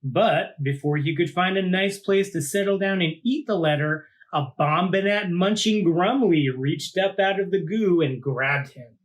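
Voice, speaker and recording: A man, a teacher, reading a children's picture book aloud in an informal, expressive way with casual asides. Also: high voice, measured pace, few pauses.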